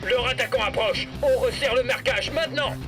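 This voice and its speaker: A man's voice: very high voice, moderate pace, over music. A man playing an eager, earnest young football star in a French-dubbed cartoon. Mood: urgent, competitive. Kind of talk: animated series French dub acting.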